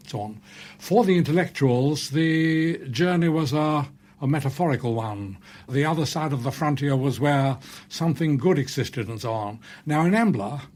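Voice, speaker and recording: A man's voice: medium pitch, a little mellow, slight background noise. A man giving explanatory literary commentary in an interview.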